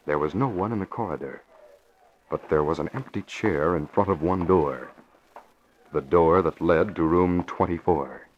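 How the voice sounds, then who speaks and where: A man's voice: deep voice, moderate pace, a little hiss or hum. A man announcing and narrating a 1940s radio show, emphatic and punchy in delivery. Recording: dull lo-fi old radio recording.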